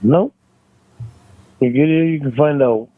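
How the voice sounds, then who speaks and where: Man on a tinny phone line, halting. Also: a little high, no room sound. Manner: halting, repeats himself, defensive. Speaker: phone caller. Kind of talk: argumentative private phone call.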